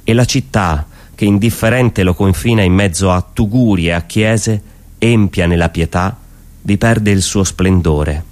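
A man reciting poetry in a solemn, dramatic style, delivering it phrase by phrase with measured pauses. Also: lowish voice, darkish tone. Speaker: poetry performer.

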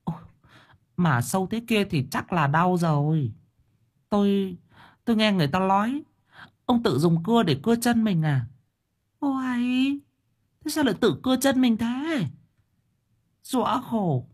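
A man voicing a chattering older village wife, speaking in a high, whining voice. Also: animated, measured pace, pauses often. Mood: fretful. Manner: wailing, rambling, high-pitched whine.

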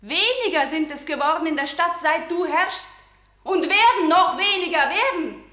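A defiant young princess, high voice, passionate, echoey radio play. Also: female voice, lively intonation, normal speed, pushed and bright, heavily acted. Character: defiant young female princess, grieving, unbending. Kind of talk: classic stage radio-play acting.

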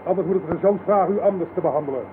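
A man's voice: sits high, natural voice. An older man acting a pompous, deluded old general in a radio play, speaking formally and grandly. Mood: grandiose, stern. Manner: formal, grandiose, measured speech-making. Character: pompous, deluded old general, self-styled modern Noah. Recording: noisy, tinny old radio play recording.

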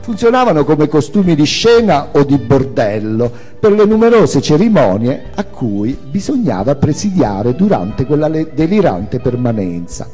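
A man's voice: on the high side, sing-song, moderate pace, hardly any pauses, dark tone, long reverb tail, soft background music. A man giving a theatrical reading of autobiographical prose as its author and narrator, with dramatic, emphatic phrasing.

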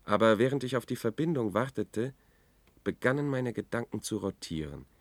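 An actor in a German radio drama playing an anxious, brooding man, measured and reflective in his telling. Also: medium pitch, animated, no echo, lightly acted.

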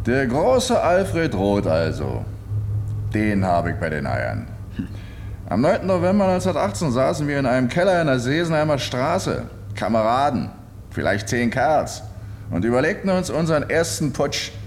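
An actor playing a tough, coarse conspirator in a radio drama, menacing and full of contempt. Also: male voice, medium pitch, huge pitch swings, moderate pace. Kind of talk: German radio drama acting. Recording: echoey reverberant space, faint noise.

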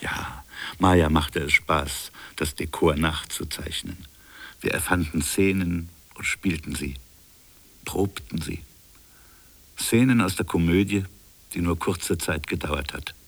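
Colonial official, bass-deep voice, measured, solemn, reflective, defiant, literary radio drama. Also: male voice, creaky, exaggerated voice. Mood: reflective, earnest. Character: defiant, reflective colonial official defending his invented fable.

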